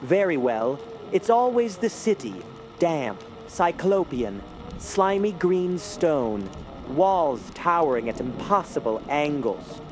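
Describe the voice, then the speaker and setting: An actor playing an eccentric, haughty sculptor haunted by dreams, in a theatrical, brooding radio drama style. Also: male voice, fairly high pitch, sing-song, takes it slowly. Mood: haunted, entranced. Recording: loud music behind the voice. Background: music.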